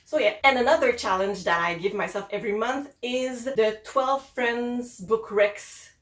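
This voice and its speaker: A woman's voice: fairly high pitch, unhurried, fairly bright tone, roomy sound. A young woman from Quebec with a French-Canadian accent, chatting casually and upbeat about the books she plans to read. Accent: Québécois French. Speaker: book vlogger. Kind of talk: casual chat.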